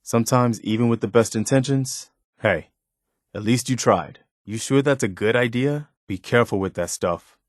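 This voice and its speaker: A man's voice: mid-range voice, moderate pace, no echo, clean studio sound, close to an everyday voice. A man playing an earnest, loyal militia companion in a video game, plain-spoken, caring and given to moralizing. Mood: earnest, concerned.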